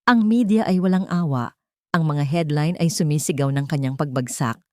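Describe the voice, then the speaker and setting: A woman's voice: deep voice, moderate pace, darkish tone, no room sound, studio quality. A woman narrator reading a scripted melodrama as an audiobook, with dramatic storytelling delivery.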